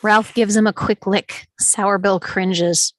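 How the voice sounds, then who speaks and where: A woman's voice: medium pitch, steady tone, slow, dry recording. Woman narrating a screenplay, very dry sound. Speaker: stage directions narrator. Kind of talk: scripted narration of screenplay table read.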